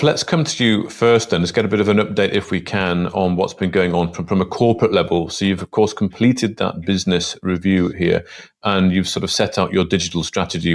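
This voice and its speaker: A man hosting a business interview, asking brisk, affable questions. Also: low-pitched.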